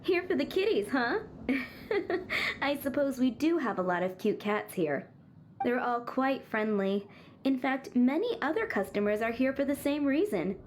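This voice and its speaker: A woman playing an easygoing, welcoming cat tavern keeper in a video game, relaxed and amused. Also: sits high, unhurried, slight background noise.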